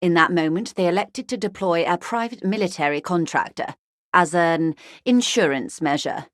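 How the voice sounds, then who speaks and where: An actress playing a worldly, genteel corporate negotiator in a video game, speaking in a dry, ironic, measured and articulate way. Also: female voice, lowish voice, no room sound, lightly acted. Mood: grave, confiding. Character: worldly, wry corporate negotiator woman, coolly detached and genteel. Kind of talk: scripted video game dialogue.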